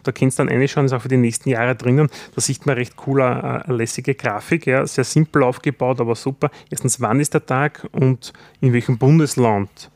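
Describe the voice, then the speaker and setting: A man with a Styrian Austrian accent chats easily on a podcast, often tagging his sentences with a quick "ja". His voice sits in a middle pitch and sounds dry and close to the mic. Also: fairly even intonation, darkish tone, dry recording. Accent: Styrian Austrian German. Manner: chatty, fills pauses with "ja". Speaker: podcast co-host. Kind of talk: casual chat.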